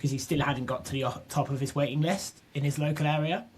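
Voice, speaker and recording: A man's voice: a little high, steady tone, fast, slight background noise. A young man from southwest England, a podcast guest talking casually and restarting his sentences often. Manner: chatty, restarts often, repeats words. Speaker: podcast guest, business co-founder. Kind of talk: casual interview chat.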